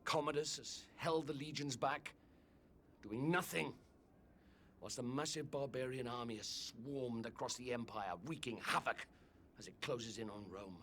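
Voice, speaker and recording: A man's voice: medium pitch, unhurried, fairly bright tone, slight background noise, close to an everyday voice. Male actor for an older statesman, solemn and urgent, mid-range voice. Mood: grave.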